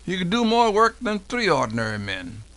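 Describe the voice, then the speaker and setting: A man's voice: high-pitched, huge pitch swings, normal speed, fairly bright tone, exaggerated voice. A man playing an elderly formerly enslaved American man recalling his childhood in a folksy, dialect-heavy dramatic reenactment. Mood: somber recollection. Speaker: professor doing a dramatic reading. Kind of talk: dramatic first-person oral history reenactment.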